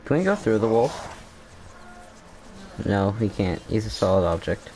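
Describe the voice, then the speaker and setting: A man's voice: lowish voice, very animated, unhurried, warm tone, slight background noise, poor audio. A man giving casual gameplay commentary, rambling and thinking aloud as he plays. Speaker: gamer commentator.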